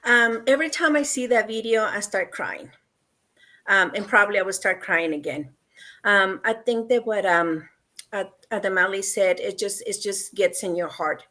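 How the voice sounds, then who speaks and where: A woman's voice: medium pitch, moderate pace, pushed and bright. A woman from Mexico with a Mexican accent, a parent guest on a panel, speaking earnestly and emotionally with many ums and repeated phrases. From Mexico, El Bajío region. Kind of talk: heartfelt panel discussion.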